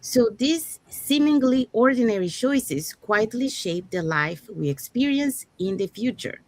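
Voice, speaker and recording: A woman from Panama hosting an online talk show, welcoming guests and introducing the day's topic in an upbeat, measured way. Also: a little high, expressive, a little mellow, dry recording. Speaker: show host.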